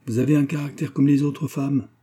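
Storybook role: dignified old female servant read by a man, solemn and plain-spoken, very mellow, rough-edged voice. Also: mid-range voice, level tone, a little fast, pitched above the performer's own voice, natural voice. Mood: solemn reproach. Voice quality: grave voice.

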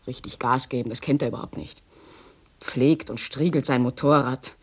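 An actress playing an agitated, unhappy wife with a secret lover, complaining intensely in a 1960s radio play. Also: female voice, very deep voice, fairly even intonation, moderate pace. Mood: desperate, exasperated.

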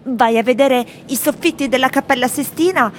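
An actress playing wry, plaintive tourists in a theatrical radio reading. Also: female voice, high voice, moderate pace, slight background noise, lightly acted. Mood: wry, complaining. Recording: music behind the voice. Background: music.

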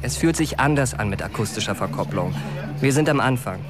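A man's voice: mid-range voice, lively intonation, fairly quick, loud music behind the voice, natural voice. An actor playing a defensive male researcher in a German radio drama. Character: hesitant, defensive male researcher named Paul.

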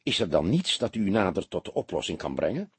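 A man voicing a wealthy, smooth businessman in an audiobook, formal and exclamatory in manner. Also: deep voice, very animated, a little fast, no room sound, exaggerated voice. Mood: affable, curious. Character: wealthy smooth businessman, theatrically distraught, then affably condescending. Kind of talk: audiobook character voice.

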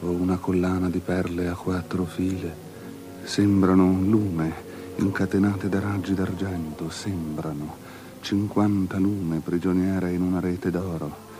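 A man's voice: low-pitched, level tone, measured pace, over music. An actor playing an anxious, superstitious king in an avant-garde radio drama, declaiming theatrically and sliding into whispers, on a noisy recording. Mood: coaxing, lavish. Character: anxious, superstitious king pleading and bargaining with a princess.

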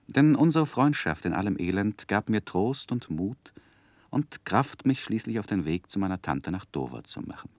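An actor playing an earnest, reflective young man who narrates his own youth in a measured, literary 1960s German radio drama style. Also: low voice, dry recording, full character voice. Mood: wistful. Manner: measured, literary, deliberate phrasing. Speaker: first-person narrator.

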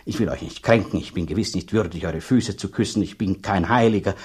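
An actor in a radio play voicing a blunt, talkative rural dean, sceptical and condescending, speaking in a husky, halting, emphatic way. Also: male voice, sits low, a little mellow. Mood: doubtful, insistent. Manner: halting, emphatic, repeats himself. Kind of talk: German radio drama acting.

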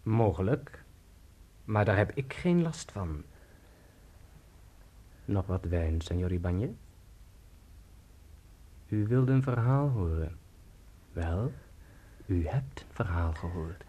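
A man's voice: low voice, animated, slow pace, pauses often, husky, exaggerated voice. A man playing an aging, dreamy, half-mad guitarist and storyteller in a radio drama, with a poetic, murmuring delivery. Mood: sly, calm. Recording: loud guitar music behind.

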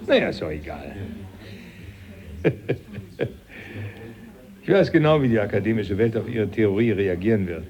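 A man's voice: lowish voice, sing-song, moderate pace, long pauses, darkish tone, muffled recording. An actor playing a genial older male professor, dryly amused and familiar, in a German radio play. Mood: wry, confiding. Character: genial old professor, the hero's former teacher, dryly amused.

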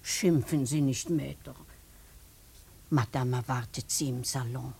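An actress playing a discreet, deferential housekeeper in a German radio drama, speaking in a hushed, husky voice. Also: female voice, a bass voice, fairly even intonation, slow, a little hiss or hum, exaggerated voice. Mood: hushed, cautioning. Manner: hushed, respectful. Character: deferential, discreet French housekeeper called Mother.

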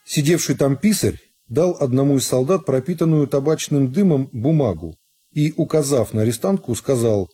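A man reading a classic novel as a scripted radio narration, in a measured, unhurried storytelling manner. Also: mid-range voice, lively intonation, warm tone, slightly rough. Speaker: narrator of a literary radio serial. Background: music.

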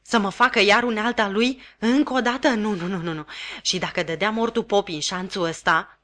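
A woman's voice: medium pitch, a bit bright, lightly acted. A woman voicing a furious escaped male convict who speaks with scorn and savage vehemence in a storybook reading. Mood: vehement scorn.

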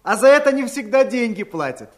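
Gentle humble gardener lad, really high voice, expressive, brave and sincere, radio fairy tale. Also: male voice, brisk. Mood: proud, firm. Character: brave, modest young gardener in love.

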